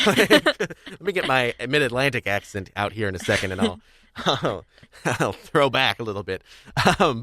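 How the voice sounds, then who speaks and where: A man's voice: medium pitch, pushed and bright, no echo, studio quality. A young man hosting a casual podcast chat, talking in a wordy, rambling, hedging way. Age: young adult. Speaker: podcast host. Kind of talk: casual chat about audio drama craft.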